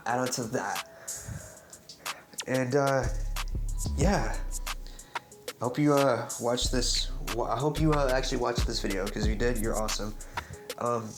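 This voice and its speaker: A man's voice: mid-range voice, gravelly. A young man giving casual, unscripted commentary on a game theory, stuttering often, restarting sentences and tagging points with "right?". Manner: rambling, keeps asking "right?". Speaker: YouTuber discussing game theories. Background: music in the background.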